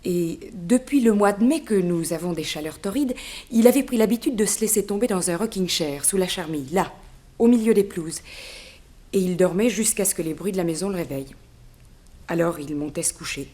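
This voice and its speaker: Actress as low-voiced, chatty chambermaid. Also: female voice, brisk, mellow, lightly acted. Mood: chatty, concerned. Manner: deferential, chatty. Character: talkative, respectful female chambermaid, gossipy, sympathetic. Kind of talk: 1960s radio serial acting.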